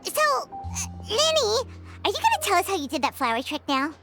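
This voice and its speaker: A woman voicing a small, excitable fairy companion in a video game, with a chirpy, exaggerated delivery. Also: very high-pitched, normal speed, over music, exaggerated voice. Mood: nosy, pleading.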